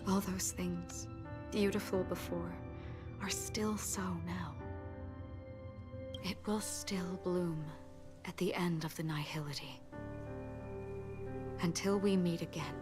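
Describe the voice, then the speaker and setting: Mysterious solemn woman, played by an actress; soft, hushed, slightly low. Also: natural voice. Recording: game audio with music.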